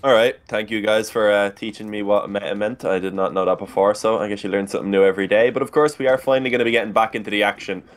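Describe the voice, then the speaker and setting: A man casting esports, opening a match broadcast in an upbeat, smooth delivery. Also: lowish voice, level tone, quick pace, hardly any pauses. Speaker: esports caster. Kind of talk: match commentary intro.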